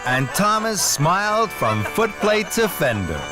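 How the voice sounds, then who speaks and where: Slow, lively male children's storyteller. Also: high voice, over loud music. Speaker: storyteller narrator. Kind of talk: scripted children's story narration.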